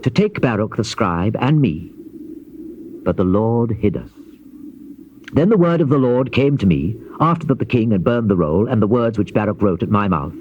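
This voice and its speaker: A man performs a grave Old Testament prophet in an audio scripture drama. The voice is mellow and mid-pitched, declaiming in measured, stately phrases that rise and fall widely. It comes from a muffled old cassette recording. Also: lightly acted. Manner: solemn, declamatory, measured phrasing with emphatic rises. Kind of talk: dramatized scripture reading, classical stage delivery. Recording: dull lo-fi old cassette, faint hiss.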